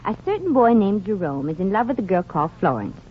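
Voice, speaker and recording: A woman's voice: medium pitch, big pitch swings, fast talker, lightly acted. An actress playing a brassy, kindhearted young working woman in a 1940s radio comedy, wry, sassy and slangy. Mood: earnest. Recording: dull, noisy 1940s radio recording.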